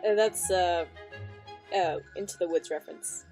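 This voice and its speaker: A woman's voice: fairly low pitch, moderate pace, vocal fry, mellow. Gushing woman commentator over light music. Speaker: reaction commentator, channel host. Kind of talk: casual reaction commentary.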